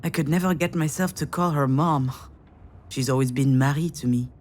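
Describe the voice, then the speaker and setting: A sardonic woman in her thirties, the heroine of a narrative game. Her voice sits a little low. She talks in a wry, confessional, conversational way, poking fun at herself under stress. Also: normal speed, darkish tone. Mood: wry, uneasy. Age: 30s. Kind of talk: game voice acting, inner monologue and dialogue.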